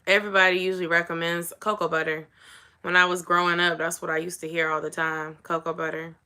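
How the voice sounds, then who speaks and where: A young woman with a somewhat raspy voice talks easily and chattily to her viewers. Her voice sits a little low but has a bright, forceful edge. The delivery is loose and conversational. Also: level tone, quick pace. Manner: chatty, says "y'all" and "like" often. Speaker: vlogger. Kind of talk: casual chat to camera.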